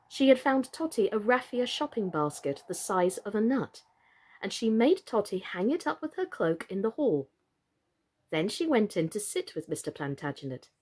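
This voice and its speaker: Expressive woman reading for children. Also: mid-range voice. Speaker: children's story reader and online storytime presenter. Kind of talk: storybook narration and friendly presenting to children.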